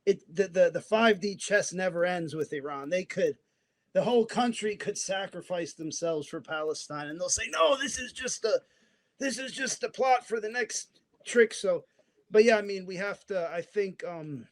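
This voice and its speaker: Rambling man, higher voice. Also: expressive, moderate pace. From United States. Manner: rambling, many restarts and asides. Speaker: podcast guest. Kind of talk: casual political discussion.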